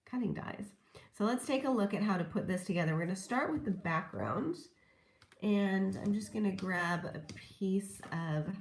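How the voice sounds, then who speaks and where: A woman demonstrating papercrafting in a gentle, chatty tutorial, a little hoarse from a lingering cold. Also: medium pitch, reverberant. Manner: gentle, chatty, laughs softly while working. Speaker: papercrafting instructor. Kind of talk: casual craft tutorial demonstration.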